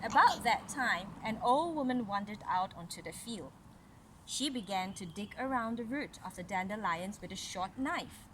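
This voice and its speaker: A woman reading a fairy tale aloud to children in an instructive way, pausing for chatty comments and questions. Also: mid-range voice, expressive, fairly bright tone. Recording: noisy home recording.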